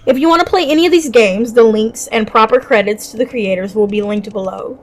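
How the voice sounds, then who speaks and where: A woman's voice: fairly high pitch, a little fast, soft background music. A woman hosting a narrated top list of games, conversational, with personal asides and enthusiastic recommendations. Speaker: video game reviewer, channel host.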